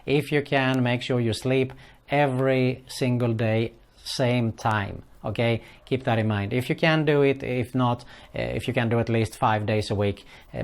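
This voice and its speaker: A middle-aged man gives earnest advice in English, his third language. He talks casually and stops often on "uh" and "for example". His voice sits in an ordinary middle pitch. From Sweden. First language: Swedish. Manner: earnest, repeats "for example" and "keep that in mind", frequent "uh" fillers. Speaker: online business vlogger. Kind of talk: casual advice talk to camera.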